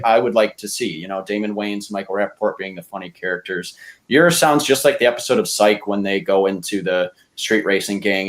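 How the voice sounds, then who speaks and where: Man, lowish pitch, casual podcast debate, rambling, opinionated. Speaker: podcast contestant pitching movie ideas.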